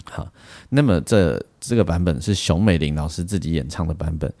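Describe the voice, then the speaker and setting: A man's voice: rumbling low, expressive, fairly quick, mellow. A man with a Taiwanese Mandarin accent hosting a casual solo music show, talking in a rambling way with frequent particles and asides. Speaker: music podcast host.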